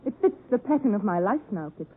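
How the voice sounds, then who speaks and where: An actress playing a proud, wounded young English gentlewoman in a measured, formal, melodramatic 1950s radio drama style. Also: medium pitch, sing-song, husky. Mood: proud, haunted. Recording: tinny vintage 1950s radio, music behind.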